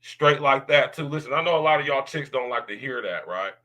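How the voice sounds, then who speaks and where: A man with a deep baritone voice hosts his podcast in a loud, drawling monologue. He leans on slang and keeps tagging his lines with questions. His tone comes through bright and forceful. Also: expressive, a little fast. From United States. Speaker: podcast host.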